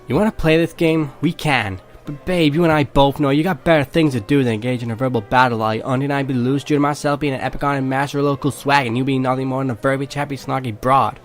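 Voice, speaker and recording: A man plays a cocky young guy in a fan-dubbed drama. His medium-pitched voice is full of slang and sarcastic swagger, snarky with stress underneath. Light music plays behind him. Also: steady tone, fast, few pauses, natural voice. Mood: cocky bravado. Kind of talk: fan-dub character acting.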